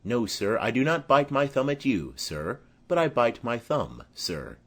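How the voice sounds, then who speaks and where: A man's voice: medium pitch, big pitch swings, unhurried. A man playing a belligerent young servant, cocky and taunting, in a Shakespearean audiobook.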